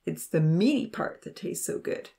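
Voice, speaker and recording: A taunting young man from a storybook, played by a female narrator. The voice sits low with a gravelly edge. He scoffs and mocks, sure of himself. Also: sing-song, normal speed, dark tone. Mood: smug. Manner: scoffing, mocking.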